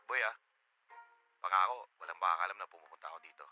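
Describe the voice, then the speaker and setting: An actor playing a secretive, sweet-talking boyfriend in a Tagalog radio drama, hesitant and placating. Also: male voice, low voice, fast talker, sharp tone, soft background music, rough recording. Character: evasive, secretive, sweet-talking boyfriend who lavishes gifts.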